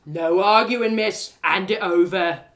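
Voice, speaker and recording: A woman's voice: medium pitch, takes it slowly, a bit bright. Audio drama jailer, male, rude and bossy, barking curt orders, performed by a woman. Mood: stern, accusing. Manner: curt, sneering, commanding. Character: gruff, rude male prison guard George.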